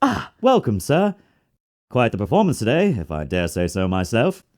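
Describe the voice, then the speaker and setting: An actor voicing a self-important, morbidly eager hotel bellboy from a video game, with an obsequious, melodramatic manner. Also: male voice, mid-range voice, huge pitch swings, moderate pace, a little mellow. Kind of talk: dramatized video game dialogue.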